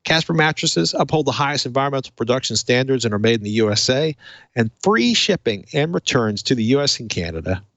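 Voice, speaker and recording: A man's voice: mid-range voice, fairly bright tone, dry recording, dull audio. Man hosting a tech podcast, dry close sound. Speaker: podcast host. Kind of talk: casual tech podcast talk and host-read ad.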